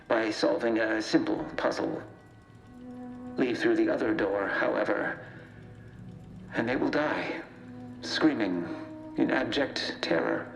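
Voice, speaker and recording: A man's voice: medium pitch, creaky. A man playing a sinister, theatrically taunting villain who toys with hostages in a video game. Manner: slow, theatrical, taunting delivery. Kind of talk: video game cutscene acting. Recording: echoing voice over faint music.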